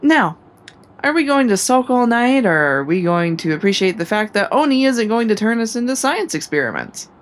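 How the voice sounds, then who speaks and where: A woman's voice: fairly high pitch, very animated, normal speed. A woman playing a supportive, teasing female soldier in a sci-fi audio drama, talking casually. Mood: playful, teasing.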